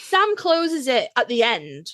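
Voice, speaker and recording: A woman's voice: a little high, huge pitch swings, takes it slowly, fairly bright tone, dry recording. A woman co-hosting a casual fan podcast, chatting in a sweary, gushing, self-mocking way. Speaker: podcast co-host.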